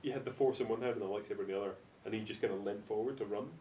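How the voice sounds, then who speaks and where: A young man chatting casually on a podcast panel. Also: racing. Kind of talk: casual group chat. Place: echoey room. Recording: roomy echo, faint noise and rumble.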